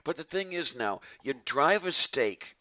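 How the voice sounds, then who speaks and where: Chatty guy hosting a stream, bright forceful voice, kinda muffled lo-fi audio. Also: a little high, sing-song, unhurried. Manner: chatty, laughs often, restarts sentences. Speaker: host of a live chat stream. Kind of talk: casual live-stream chat.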